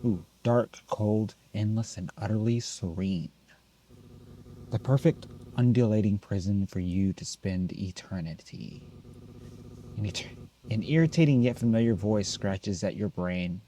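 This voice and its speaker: A man's voice: on the low side, expressive, measured pace, mellow, slight background noise, poor audio. A man doing let's play commentary, reading game text aloud and adding casual remarks. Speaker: gameplay video host.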